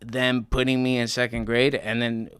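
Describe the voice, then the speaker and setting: A young man from Colombia, a guest telling his life story in a relaxed, slangy way with many fillers. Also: mid-range voice, moderate pace, clear, resonant tone, no room sound, studio quality. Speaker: interview guest, rapper. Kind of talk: casual interview talk.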